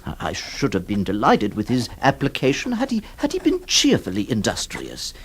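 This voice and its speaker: A stammering, flustered lawyer. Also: male voice, medium pitch, wildly swooping pitch, moderate pace, vocal fry, breathy edge, exaggerated voice. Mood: reflective. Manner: stammering, exasperated, theatrical. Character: flustered, hesitant older male lawyer. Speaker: narrator and lawyer employer.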